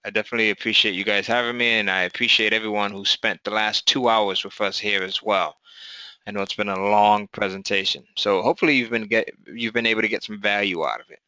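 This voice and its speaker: A hoarse man presenting a live webinar, stopping and restarting with lots of fillers. His voice sits low yet bright and smooth. The audio is dry and dull, like lo-fi streaming sound. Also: steady tone, no room sound. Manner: halting, many fillers.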